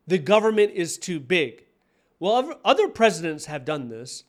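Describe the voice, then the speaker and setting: An American man in his forties giving opinion commentary, speaking emphatically and repeating key phrases to drive points home. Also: high-pitched. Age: 40s. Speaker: online career coach and commentator. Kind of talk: direct-to-camera opinion commentary.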